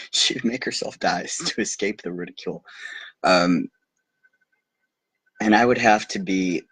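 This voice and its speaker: A man reading a bedtime story aloud and loosely translating it as he goes, halting and breaking off for amused asides. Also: low-pitched, fairly bright tone, slightly rough, poor audio. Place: recording himself on video.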